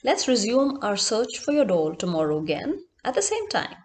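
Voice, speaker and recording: A woman storyteller gives voice to a kindly gentleman in a told story. The manner is patient and reassuring, gentle toward a child. The pitch stays on the high side. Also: wildly swooping pitch, moderate pace. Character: kind, patient gentleman stranger helping a child.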